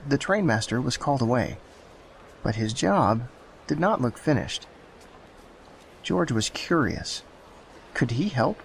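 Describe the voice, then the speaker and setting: A man reading children's bedtime stories aloud in a slow, gentle storybook manner. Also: medium pitch, slight background noise, low-quality recording.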